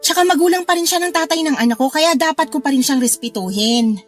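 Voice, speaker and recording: An actress playing a brash, stubborn elder sister with a Filipino accent in a radio drama, snappy and dismissive. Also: female voice, high voice, quick pace, faint music behind, natural voice.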